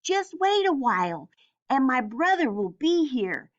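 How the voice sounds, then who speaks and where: A woman's voice: sits high, big pitch swings, a little slow, muffled recording, pitched above the performer's own voice. A woman voicing a polite, slightly nervous middle-sized male billy goat in a storybook, speaking carefully. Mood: nervous pleading.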